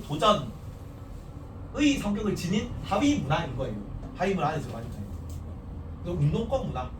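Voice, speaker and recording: A man teaching a social studies class in casual lecture style, with a softened Gyeongsang accent and frequent asides to students. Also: on the high side. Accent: standardized Gyeongsang Korean. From Gyeongsang region. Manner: animated, talks to students casually, restarts and asides. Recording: echoey classroom, distant mic, faint hiss.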